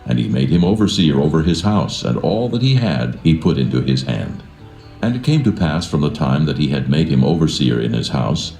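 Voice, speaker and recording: A man with an extremely deep, dark voice and a gravelly creak narrates the Bible. His delivery is solemn, measured and reverent, in a roomy echo. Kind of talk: solemn scripted scripture narration. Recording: roomy echo with music behind.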